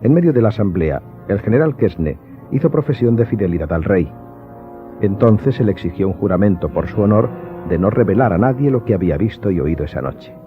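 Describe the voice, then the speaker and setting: Male narrator for audiobook drama, very deep, scripted storytelling, thin band-limited sound. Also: lively intonation, a little fast. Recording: narrow phone-like sound, faint music behind.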